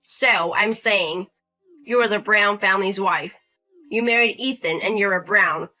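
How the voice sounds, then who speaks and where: A woman's voice: medium pitch, measured pace, sharp tone, soft background music, poor audio. A woman voicing a bullying, entitled older brother-in-law in his thirties, demanding and condescending. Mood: lecturing.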